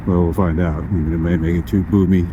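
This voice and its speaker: A man vlogging from his motorcycle, talking casually through a helmet boom mic, rambling with lots of "uh" fillers. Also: little pitch movement. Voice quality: occasionally a deep voice. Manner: rambling, halting with frequent "uh" fillers. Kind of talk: casual ride-along commentary. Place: riding a motorcycle on the road outdoors. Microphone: boom mic inside a modular helmet chin bar. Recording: helmet mic with loud road noise. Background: wind and traffic noise.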